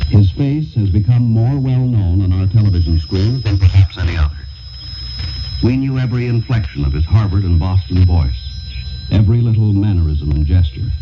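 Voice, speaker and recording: A man reading a solemn, measured, formal editorial in the style of a 1960s radio broadcast, over shortwave. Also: fairly low pitch, muffled recording. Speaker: radio commentator and announcer. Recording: noisy, band-limited 1960s shortwave radio recording. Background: loud shortwave static and noise.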